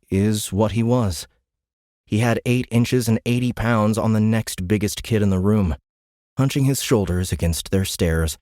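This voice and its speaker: A man with a deep voice reads a science fiction story as an audiobook narrator. His delivery is scripted and dramatic. The sound is dry, with hardly any room around it. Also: warm tone, no echo.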